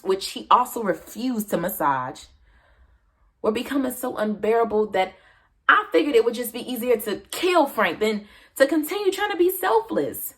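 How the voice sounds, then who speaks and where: An aggrieved American wife, acted by a woman, pleads her case in a darkly comic stage monologue. Her voice sits low, and her delivery is confiding and dramatic, moving between measured explanation and emphatic self-justification.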